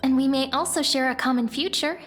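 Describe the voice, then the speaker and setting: An actress playing a gentle, hopeful young woman, a devoted companion in a video game who speaks earnestly and encouragingly with a light teasing touch. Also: sits high, fairly quick, faint music behind, lightly acted. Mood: friendly, playful. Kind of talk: scripted video game dialogue.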